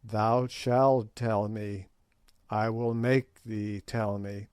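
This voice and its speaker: A man voicing a stern king who speaks in grave, slow, archaic commands. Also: fairly low pitch, flat delivery, a little mellow, smooth, no echo, studio quality.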